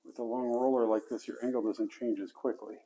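A man giving casual how-to instructions while he works, talking himself through each step and dropping to quiet mutters. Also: medium pitch, level tone, fairly quick, poor audio. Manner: talks to himself mid-task, drops to mutters. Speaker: flooring installer demonstrating. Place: basement, indoors.

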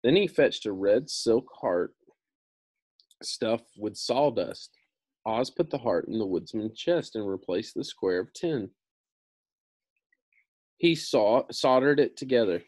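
A man's voice: mid-range voice, animated, slow pace, pauses often, a little mellow, slightly rough, no room sound, clean studio sound. A man in his thirties reading a children's book aloud, with casual, explanatory asides and some repetition. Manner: casual, explanatory, repeats himself. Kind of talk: read-aloud storytelling with informal explanatory asides.

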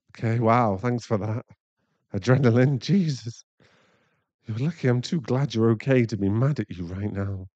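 A man's voice: fairly low pitch, mellow, no room sound. Casting: male actor as anxious, caring werewolf boyfriend for ASMR audio; close, dry, slightly dull recording, soothing and rambling. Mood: relieved, flustered. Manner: halting, rambling, soothing, breathy sighs.

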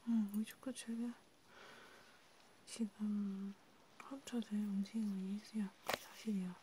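A woman whispering softly in an ASMR role play as a vampire healer tending a wounded vampire. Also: mid-range voice, little pitch movement, measured pace. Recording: clearly noisy home recording.

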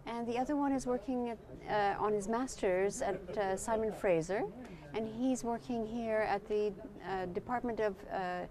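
A woman's voice: mid-range voice, normal speed, crowd noise. A woman in her fifties, born in Poland and raised in Canada, chatting as an interview guest outdoors at night on a lapel mic, friendly and full of restarts. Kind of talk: casual chat.

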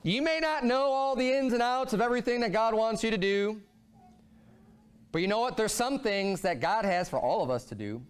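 A man's voice: high voice, moderate pace, smooth. A man in his thirties preaching a sermon from a church pulpit, his voice climbing and dropping as he drives his points home. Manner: animated preaching, voice rising and falling a lot. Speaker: pastor. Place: church sanctuary, speaking at a pulpit.

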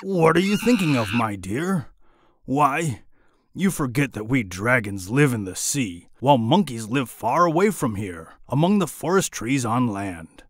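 A man's voice: mid-range voice, big pitch swings, unhurried, dry recording, studio quality. A man voicing a dragon king in a storybook reading, exclaiming in disbelief and giving firm orders. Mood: incredulous. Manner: incredulous, exclaiming. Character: dragon king, doting husband, exasperated.

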